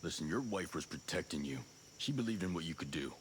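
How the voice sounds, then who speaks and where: An actor playing a calm special forces soldier in a video game, low-key, reassuring and businesslike. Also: male voice, fast, a little hiss or hum. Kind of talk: video game cutscene acting.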